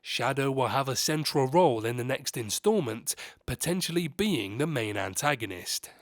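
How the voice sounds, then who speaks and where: A man's voice: mid-range voice, lively intonation, moderate pace, no room sound. Man narrating scripted movie news, dry close sound. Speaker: host of a movie news channel.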